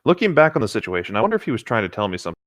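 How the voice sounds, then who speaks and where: A man's voice: lowish voice, big pitch swings, racing, very noisy. A man casually reading a story aloud as co-host, playful and jokey, breaking into chatty banter. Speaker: co-host reading and riffing on internet horror stories.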